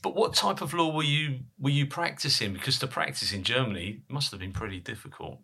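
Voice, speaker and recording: A man's voice: medium pitch, fairly quick, gravelly, sharp tone, breathy edge. A man hosting a podcast interview, chatty and self-deprecating. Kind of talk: casual interview chat.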